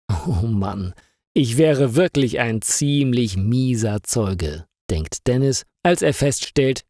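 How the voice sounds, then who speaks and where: A man's voice: medium pitch, darkish tone, husky, dry recording, studio quality. A man reading a scripted story for language learners as its narrator.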